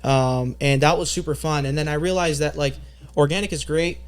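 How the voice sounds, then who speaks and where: A young man from Canada, a podcast guest, telling stories in relaxed slang with plenty of fillers. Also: a little high, a little fast, clear, resonant tone. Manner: laid-back storytelling with lots of fillers and slang. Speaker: podcast guest, marketing agency owner. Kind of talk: casual podcast chat.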